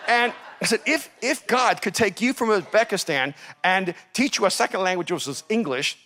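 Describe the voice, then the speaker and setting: A man from El Salvador, a native Spanish speaker, preaching as a pastor and telling a story in an animated, laughing manner. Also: sits high, moderate pace. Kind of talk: storytelling sermon.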